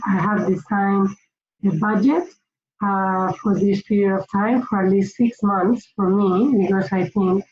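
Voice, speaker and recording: A woman from Spain with a Spanish accent gives informal instructional tips to camera in a slightly hesitant, halting way. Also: mid-range voice, a little mellow. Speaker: finance consultant giving budgeting tips. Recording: roomy echo, dull lo-fi sound, faint noise.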